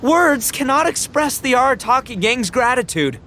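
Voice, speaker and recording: A man playing a brash, boisterous, dim-witted young oni gang boss in a video game, bombastic and easily excited. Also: extremely high pitch, moderate pace, slight background noise, full character voice. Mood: grateful. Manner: bombastic and easily excited, stumbling over formal words.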